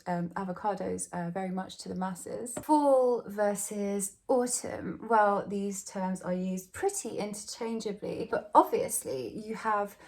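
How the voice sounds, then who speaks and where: A British woman talking through a meandering, casual vlog monologue, chatty and full of fillers and restarts. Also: mid-range voice. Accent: British English. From Britain. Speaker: video creator. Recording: faint music behind the voice.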